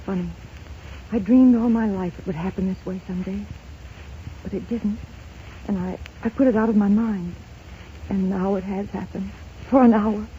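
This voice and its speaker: A woman's voice: medium pitch, fairly even intonation, moderate pace, pauses often, warm tone, slight background noise, close to an everyday voice. An actress playing a yearning, resolute married woman in a doomed romance, breathless and melodramatic in 1930s radio drama style. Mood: tender, resigned. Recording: muffled 1930s radio broadcast.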